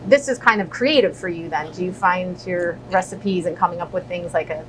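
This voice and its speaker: A woman's voice: fairly quick, fairly bright tone, low-quality recording. A woman in her forties hosting a casual interview, chatty and a little rambling in her questions. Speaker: interviewer, host. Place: indoors in a deli, seated at table. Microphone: lapel. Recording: faint background rumble and hum.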